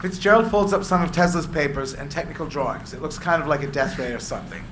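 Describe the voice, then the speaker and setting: A man's voice: fairly high pitch, expressive, quick pace. A man reading screenplay action and stage directions as narrator at a live script reading. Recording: echoey room with clear background noise.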